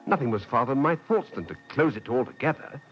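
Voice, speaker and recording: A man's voice: mid-range voice, expressive, fast talker, close to an everyday voice. An actor playing a headstrong French nobleman who tells of his youthful adventure in an articulate, dramatic radio-drama style. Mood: narrating, suspenseful. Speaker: lead actor and narrator. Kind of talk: mid-century radio drama narration and acting. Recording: slightly dull vintage radio recording, music behind.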